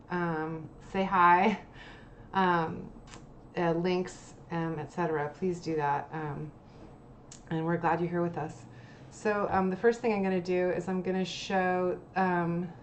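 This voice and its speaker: Co-host, woman, lowish voice, chatty moderator with faint background hiss. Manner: friendly, filler-heavy moderator. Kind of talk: moderating a streamed salon.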